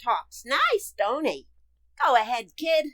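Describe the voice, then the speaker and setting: A woman's voice: fairly high pitch, wildly swooping pitch, slow, fairly bright tone, no echo, heavily acted. A woman playing a brash, cheerful, stubborn housekeeper in a radio comedy, with blunt, slangy, folksy speech. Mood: brash, breezy. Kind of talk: old-time radio comedy acting.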